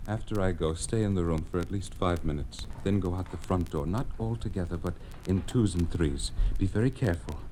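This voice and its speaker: An actor playing a wary rabbi schoolteacher who protests innocence haltingly, in a 1950s radio drama. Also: male voice, low-pitched, mellow, lightly acted. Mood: hushed caution. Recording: noisy vintage 1950s radio recording.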